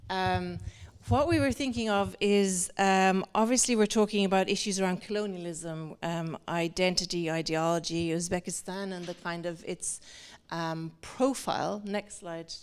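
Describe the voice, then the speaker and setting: A woman's voice: fairly low pitch, fairly even intonation, moderate pace, few pauses, clear, resonant tone. A woman moderating an art talk in a semi-formal way before an audience, speaking in long, winding, hesitant sentences. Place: indoor talk venue with an in-person audience.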